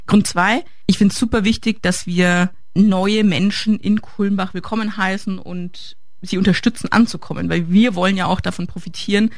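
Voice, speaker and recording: A woman's voice: mid-range voice, fairly even intonation, moderate pace, no echo, clean studio sound. A woman interviewed as a volunteer mentor, talking enthusiastically and casually.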